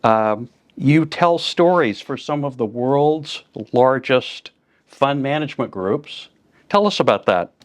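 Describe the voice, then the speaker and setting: A man's voice: mid-range voice, lively intonation, slow pace. A man hosting an interview podcast, asking plainspoken questions in a friendly way. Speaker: podcast host.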